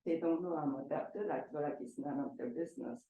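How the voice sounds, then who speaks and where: A woman whose first language is Korean asks the panel a question in an earnest, halting way, pausing to find her words. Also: deep voice, mellow, highs cut off. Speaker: audience member asking a question. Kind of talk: personal question at a public Q&A. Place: large echoey event hall. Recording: roomy hall echo.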